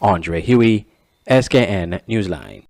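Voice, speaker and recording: A man, reporting sports and local news in a steady, measured read. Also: deep voice, vocal fry, noisy background. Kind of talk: scripted news reading.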